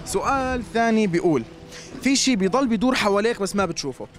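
A man's voice: high-pitched, expressive, faint music behind. A young man with a Syrian Arabic accent hosting a cheerful street quiz interview outdoors at a scrapyard, talking into a handheld mic. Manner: fast, cheerful, teasing.

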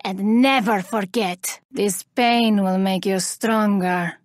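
An actress playing a vengeful, haughty elven woman warrior in a video game, speaking with deliberate, theatrical menace. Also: medium pitch, fairly even intonation, no echo, clean studio sound, lightly acted. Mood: vengeful, cold. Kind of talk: video game character voice lines.

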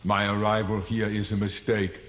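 A man acting a stoic killer robot in a video game, speaking in flat, terse, mechanical lines. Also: on the low side, normal speed, lightly acted. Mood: cold, flat. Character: stoic, menacing humanoid killer robot, adult male. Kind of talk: video game character dialogue. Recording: long reverb, loud effects noise, clicks.

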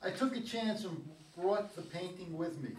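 Man playing genial high-voiced storyteller, echoey room. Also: lively intonation, moderate pace, a little mellow. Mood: jovial. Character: genial, joking man narrating his memories to the audience. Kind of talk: staged script reading. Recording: echoey room, distant mic, faint noise.

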